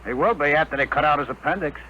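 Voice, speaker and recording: An actor playing a slangy, skeptical, wisecracking rocket technician in a 1950s radio drama, on an old broadcast recording. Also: male voice, on the high side, rapid-fire, muffled recording, lightly acted. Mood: concerned. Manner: slangy, wry. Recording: hissy 1950 radio broadcast.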